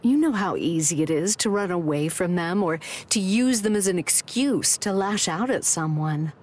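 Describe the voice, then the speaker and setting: A woman's voice: lowish voice, lively intonation, moderate pace, a little mellow. A woman voicing a confident, teasing and caring big-sister character in an anime game, coaxing and candid. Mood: tender, reassuring.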